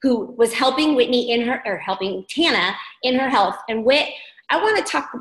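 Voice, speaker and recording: Woman coach, bright voice, upbeat and emphatic, echoey room. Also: fairly high pitch, animated, moderate pace. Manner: emphatic, affirming, repeats key phrases. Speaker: team coach leading a training call. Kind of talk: encouraging group training talk.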